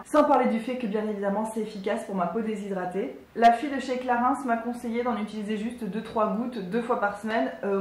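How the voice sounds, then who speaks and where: A woman's voice: mid-range voice, a little mellow, reverberant, poor audio. A woman in her twenties chatting casually and enthusiastically as a beauty vlogger, with lots of fillers. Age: 20s. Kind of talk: casual product review.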